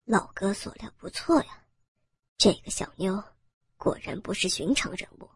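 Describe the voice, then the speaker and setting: A woman voicing a lecherous, smug male gang boss in an audio story, taunting and menacing, with a husky edge. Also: medium pitch, moderate pace, dry recording, studio quality, voice pushed down. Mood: commanding, smug. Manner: taunting, sneering.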